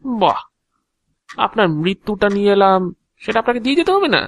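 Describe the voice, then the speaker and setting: A man's voice: high voice, expressive, fairly quick, a bit bright, dry recording. A man playing a touchy, self-important agent of Death in an audio drama, with a theatrical, indignant manner. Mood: firm, businesslike. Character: touchy, officious agent of Death, proud of his job.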